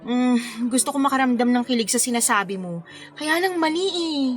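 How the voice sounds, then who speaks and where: An actress playing a coy, smitten forty-year-old wedding planner who playfully protests in a romantic radio drama. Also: female voice, fairly high pitch, moderate pace, soft background music, lightly acted.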